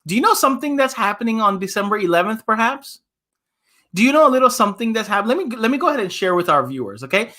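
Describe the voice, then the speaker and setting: A man, an imam, hosting a children's story time, reading and chatting in an animated, conversational way with questions for listeners. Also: high voice, fast.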